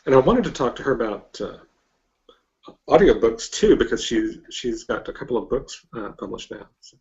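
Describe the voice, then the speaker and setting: Halting male interviewer on a lo-fi call. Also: mid-range voice, big pitch swings, darkish tone. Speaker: interviewer, host. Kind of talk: casual interview chat. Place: online video hangout. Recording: dull lo-fi online call.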